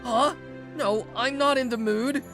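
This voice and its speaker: A woman's voice: high voice, fairly even intonation, a little slow, music in the background. A woman voicing a stubbornly guarded, exasperated young male office recruit in a manga dub, narrating and speaking his lines defensively. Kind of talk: dramatized first-person story narration and dialogue.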